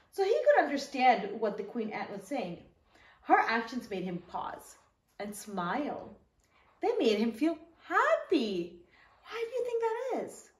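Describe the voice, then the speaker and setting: A woman reads a story to children in a fairly high voice that rises and falls with great animation. She breaks off for asides and puts questions to her young listeners. The room around her is noticeably echoey. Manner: animated, asks listeners questions, acts out short quotes. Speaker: children's storyteller reading her own book.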